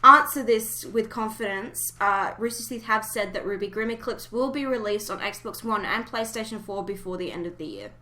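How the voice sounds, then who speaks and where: A woman hosts a relaxed fan podcast in an informal, chatty way. Her voice is very bright and crisp-edged, sitting at an ordinary female pitch. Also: moderate pace, few pauses. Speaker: podcast host. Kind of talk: casual fan podcast chat.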